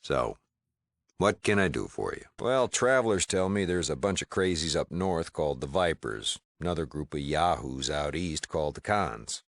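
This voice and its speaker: Very deep, gravelly frontier town mayor. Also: male voice, animated, normal speed, no room sound, clean studio sound, heavily acted. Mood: dry, welcoming. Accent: American. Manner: folksy drawl, wry, no-nonsense. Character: gruff, plain-spoken frontier town mayor and shopkeeper, adult man. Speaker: video game character. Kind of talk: video game dialogue acting.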